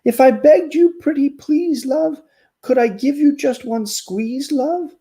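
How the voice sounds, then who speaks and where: A man voicing a lovesick, pleading male turtle in a high, sing-song falsetto in a storybook poem. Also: slow, dark tone, exaggerated voice. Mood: wooing.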